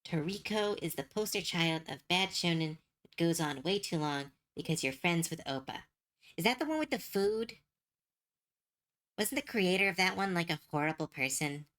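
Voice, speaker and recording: A young adult woman streaming as she draws. She rambles and giggles as she thinks out loud, and her voice is going hoarse and tired. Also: fairly low pitch, lively intonation, normal speed. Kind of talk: casual chat while drawing.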